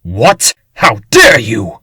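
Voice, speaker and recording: A wealthy, pompous comic-dub aristocrat voiced by a man. His speech is formal and haughty, with highly animated intonation. The recording is very dry. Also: drawn-out words, breathy edge, no room sound, exaggerated voice. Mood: indignant.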